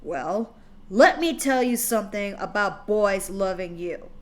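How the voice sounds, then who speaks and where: A woman voicing a cruel, abusive male pony father who speaks loudly with a gloating, growling edge. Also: medium pitch, lively intonation, measured pace, close to an everyday voice. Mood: menacing.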